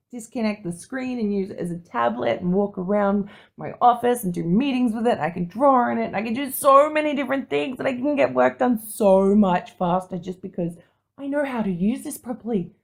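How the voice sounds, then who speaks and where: A woman's voice: fairly high pitch, normal speed, creaky. A woman co-hosting a podcast, talking casually and candidly with the odd swear word. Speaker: podcast co-host. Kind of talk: casual chat.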